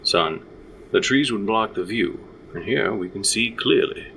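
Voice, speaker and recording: Deep-voiced practical frontier father played by a man. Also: lively intonation, measured pace, gravelly, pushed and bright, slight background noise, heavily acted, poor audio. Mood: matter-of-fact. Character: practical, steady frontier father with dry humor. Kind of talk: audio drama acting.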